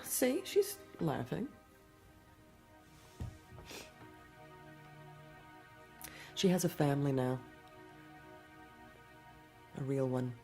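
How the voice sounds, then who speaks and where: Audio drama: imperious, condescending wife, deep creaky voice, brittle edge. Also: female voice, dark tone.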